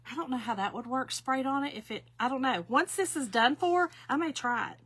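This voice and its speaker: Chatty fifties woman, bright voice. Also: sits high, brisk, slightly rough. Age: 50s. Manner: chatty, folksy, addresses viewers as y'all. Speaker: craft demonstrator. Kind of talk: casual craft tutorial.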